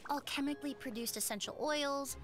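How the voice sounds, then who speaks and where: Game role: composed, articulate young woman investigator played by an actress, fairly high pitch, exact explanatory delivery, light music underneath. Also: a little fast, natural voice. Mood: explaining, assured. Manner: precise, explanatory. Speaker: video game voice actor. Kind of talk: scripted game dialogue.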